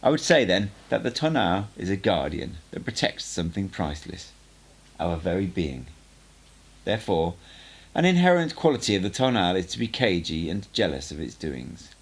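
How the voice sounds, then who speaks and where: A man voicing a male sorcerer teacher in an audiobook, cutting and assured, explaining mysteries in a deliberate, didactic and emphatic way. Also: deep voice, sing-song, slightly rough, full character voice. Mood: instructive, grave. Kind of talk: audiobook character dialogue.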